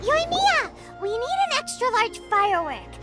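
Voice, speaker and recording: A woman voicing a tiny, childlike, chirpy fairy companion in an animated video game. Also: moderate pace, loud music behind the voice. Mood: eager. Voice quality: squeaky, childlike.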